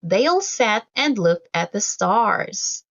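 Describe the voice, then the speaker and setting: A woman reading a children's story aloud from a script, in an expressive, deliberate way for young listeners. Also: medium pitch, highs cut off. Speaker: storybook reader.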